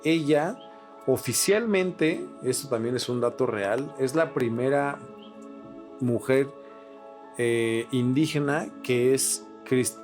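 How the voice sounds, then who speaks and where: A man's voice: medium pitch, slow pace, a little mellow. Slangy Mexican man chatting over music. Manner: slangy, sweary. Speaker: podcast host. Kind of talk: casual explanatory chat. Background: music with guitar.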